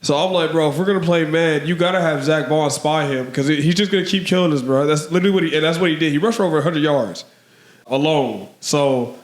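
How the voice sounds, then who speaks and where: Hoarse man in casual sports chat. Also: on the high side, fast, reverberant. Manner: rambling, filler-heavy, says "man" and "bro". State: hoarse from allergies. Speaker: podcast co-host.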